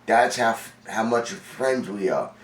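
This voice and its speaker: A man's voice: mid-range voice, measured pace. A forty-year-old man from Long Island, congested, telling his own story in a rambling, profane, streetwise way. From Long Island, New York. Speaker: vlogger. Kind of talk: casual storytelling monologue. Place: indoor room with a window. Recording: roomy, distant from mic.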